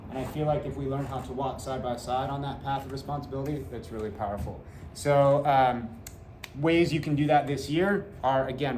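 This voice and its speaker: A man's voice: medium pitch, darkish tone. Guy teacher in his 40s talking to parents, earnest, lots of uhs, roomy classroom echo, faint hum. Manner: earnest, gestures a lot, many "uh" fillers. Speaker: seventh grade teacher. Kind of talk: back-to-school talk to parents. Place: classroom in front of a whiteboard. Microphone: fixed camera at a few meters. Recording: echoey classroom from the camera.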